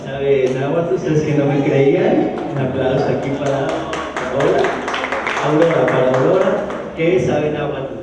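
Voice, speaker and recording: A man's voice: mid-range voice, unhurried, very noisy. A man with a Mexico City accent giving a conversational public lecture, with joking asides and frequent restarts. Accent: Mexico City Spanish. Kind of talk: public lecture to a live audience. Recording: echoey hall from far off, dull. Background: audience in a large hall.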